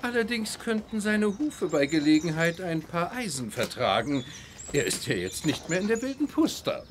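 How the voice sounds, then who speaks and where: A middle-aged aristocrat in a children's radio play. He is genteel, confident and jovial, with a highly animated delivery that swings widely in pitch. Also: normal speed, slight background noise. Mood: genial, self-assured. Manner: genteel, self-assured. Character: jovial, confident aristocratic count, father of a teenager. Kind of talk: children's audio drama acting.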